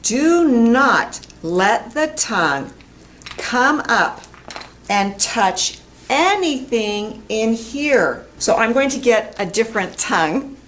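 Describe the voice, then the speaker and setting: Middle-aged American female teacher, extremely slow and emphatic delivery, precise enunciation, some room noise on a camera mic. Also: fairly high pitch, animated, fairly bright tone. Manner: deliberate, emphatic, carefully enunciated. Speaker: English pronunciation teacher. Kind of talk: instructional lesson to camera. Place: indoor classroom in front of a whiteboard.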